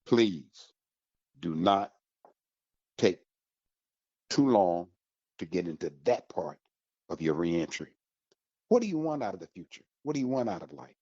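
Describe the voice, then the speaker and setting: An American man hosting an informational radio talk, speaking with emphatic, deliberate, motivational phrasing. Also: big pitch swings, dark tone. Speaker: radio host. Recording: muffled, roomy, echoey low-fidelity sound.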